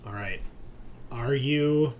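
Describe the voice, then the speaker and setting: A man's voice: medium pitch, slow pace, darkish tone, slight background noise. A man running a tabletop roleplaying game as game master, talking informally and explaining rules. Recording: faint music behind.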